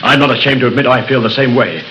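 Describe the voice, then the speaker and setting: An actor playing a polite, eager young fiancé who grows uneasy, in a 1930s radio drama. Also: male voice, medium pitch, fast talker, sharp tone, slight background noise, natural voice. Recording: muffled old radio broadcast.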